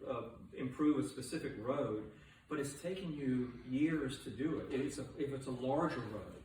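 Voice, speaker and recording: A man's voice: medium pitch, normal speed, darkish tone. A man from a state transportation agency answering questions at a public meeting, often stopping to repeat words. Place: large meeting room. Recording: echoey room, distant mic, noisy.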